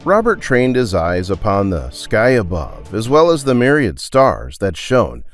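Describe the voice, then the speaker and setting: Animated male storyteller over music. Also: on the low side, a little slow. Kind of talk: dramatised scripted story narration.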